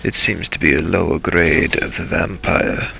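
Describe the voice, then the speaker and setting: Guy doing a deep brooding vampire telling his dark journey, solemn n halting, bit of noise behind. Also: fairly even intonation, vocal fry, full character voice, poor audio. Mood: grave, measured. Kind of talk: improvised in-character role-play narration over gameplay.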